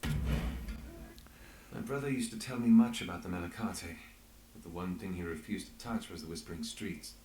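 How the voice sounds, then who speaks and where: An earnest, inquisitive man from a fantasy game, with a lowish voice that moves in lively swings. The sound is roomy and echoing. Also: normal speed. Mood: reflective. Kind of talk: video game voice acting.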